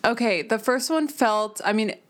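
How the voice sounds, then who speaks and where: A woman's voice: on the high side, moderate pace. A young woman co-hosting a casual podcast, talking in a loose, rambling way full of fillers. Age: young adult. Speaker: podcast co-host. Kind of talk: casual chat.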